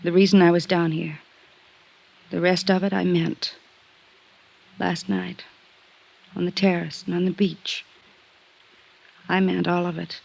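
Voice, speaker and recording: An actress playing an earnest, guarded young woman in an old radio adventure drama, speaking in a soft, intimate manner. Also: fairly low pitch, little pitch movement, measured pace, creaky, pauses often, heavily acted, low-quality recording. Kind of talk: 1950s radio drama acting.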